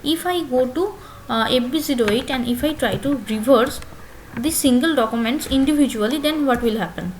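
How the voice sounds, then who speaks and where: A woman giving a spoken screen-share software tutorial as instructor, phrasing haltingly with many filler pauses and repeating phrases step by step. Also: sits high, a little hiss or hum, rough recording.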